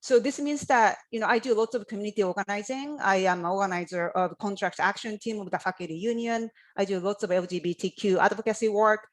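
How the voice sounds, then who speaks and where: A woman's voice: mid-range voice, steady tone, fast, hardly any pauses. Earnest woman on a video call. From Japan. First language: Japanese. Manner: earnest, reflective, speaks at length. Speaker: college faculty panelist. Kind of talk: panel discussion.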